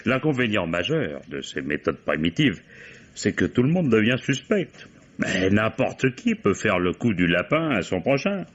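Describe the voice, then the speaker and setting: An actor playing a wily, genial police commissioner in an old French radio mystery, drawling falsely casual questions and musing asides. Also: male voice, fairly low pitch, sing-song, moderate pace, breathy edge. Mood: sly, probing. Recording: dull old radio drama recording.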